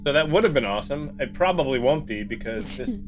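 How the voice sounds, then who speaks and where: A man's voice: on the high side, very animated, brisk. A man playing a tabletop roleplaying game, chatting casually in a questioning, argumentative way. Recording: background music under the voice.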